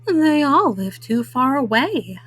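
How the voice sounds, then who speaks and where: A woman voicing a caring mama bear in a children's storybook, gentle and motherly. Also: sits high, very animated, slow, slightly rough, dry recording, full character voice. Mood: wistful, concerned. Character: caring, wistful mama bear, grown female.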